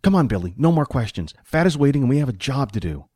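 A man's voice: mid-range voice, big pitch swings, fast, dark tone, breathy edge, no room sound, studio quality. A man voicing a pragmatic, money-minded hired hand in a fantasy audiobook, explaining things in impatient colloquial talk.

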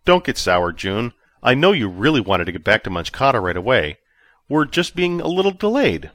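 A man's voice: mid-range voice, very animated, normal speed, fairly bright tone, no echo, voice pushed up. A man reading an audiobook, voicing a cocky, easygoing male space captain who talks in a breezy, persuasive way. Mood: coaxing.

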